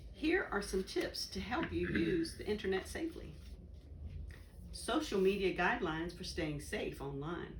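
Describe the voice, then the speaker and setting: A woman reading a children's educational book aloud in a deliberate, instructive way. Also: lowish voice, creaky. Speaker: volunteer story reader. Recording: roomy echo, faint background noise.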